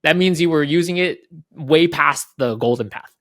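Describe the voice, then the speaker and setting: A man's voice: on the high side, normal speed, fairly bright tone, no room sound, clean studio sound. A man giving casual interview explanations as a guest, thinking aloud and often checking in with "right?". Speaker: head of product, interview guest.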